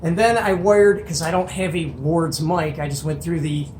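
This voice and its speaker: Medium-pitched voice, enthusiastic host, casual show and tell, colloquial, folksy exclamations, echoey room, faint background noise. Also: steady tone, moderate pace, a little mellow.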